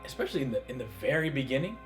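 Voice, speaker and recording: Male reaction co-host, informal chat, animated range, with clear music in the background. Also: mid-range voice, rapid-fire.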